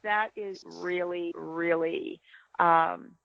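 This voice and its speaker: A woman's voice: fairly low pitch, fairly even intonation, drawn-out words, gravelly, dull audio, a little hiss or hum. A woman speaking earnestly and emphatically from experience, giving practical advice in a casual panel chat. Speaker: podcast guest, experienced hunter.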